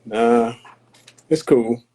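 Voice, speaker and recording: A man's voice: medium pitch, drawn-out words, mellow, breathy edge. A man from North Carolina, a podcast guest talking in an earnest, philosophical, somewhat preachy way. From Tarboro, North Carolina. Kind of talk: reflective conversational talk.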